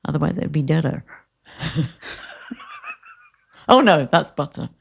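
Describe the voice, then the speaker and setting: Woman, podcast co-host, gravelly voice, rambling casual chat. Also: low voice, a little slow. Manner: chatty, rambling, laughs easily.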